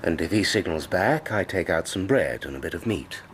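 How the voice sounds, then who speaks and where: An actor playing a deferential but stubborn manservant, formal, dignified and restrained, in a radio drama. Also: male voice, low-pitched, expressive, normal speed, a little hiss or hum. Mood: pleading.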